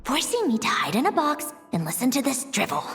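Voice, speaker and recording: A woman voicing a haughty, irritable young female clockwork puppet in a video game, measured and disdainful. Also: high voice, huge pitch swings, vocal fry, over music, heavily acted. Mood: exasperated. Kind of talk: video game character acting.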